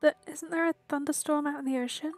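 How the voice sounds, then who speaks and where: A woman's voice: high voice, fairly even intonation, fast talker, faint music behind. A woman giving casual, informal commentary while playing through a video game. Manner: informal, reactive. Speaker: game streamer.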